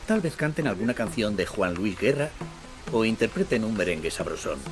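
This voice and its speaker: A man reading scripted documentary narration in a measured, descriptive way. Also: lowish voice, very animated. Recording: voice-over with loud music underneath. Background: music.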